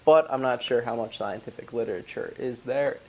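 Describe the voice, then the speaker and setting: A man's voice: medium pitch, brisk, darkish tone. A congested young man, slightly losing his voice, casually walking through the gear in his gym bag. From Wisconsin, USA. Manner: chatty, explains ingredient details. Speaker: powerlifting vlogger. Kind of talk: casual product walkthrough.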